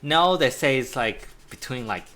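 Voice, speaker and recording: A man's voice: medium pitch, lively intonation, measured pace, fairly bright tone, slight background noise. A man in his thirties whose first language is Chinese, an art teacher chatting casually while drawing, with lots of restarts and laughter. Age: 30s. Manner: rambling, restarts, laughs often.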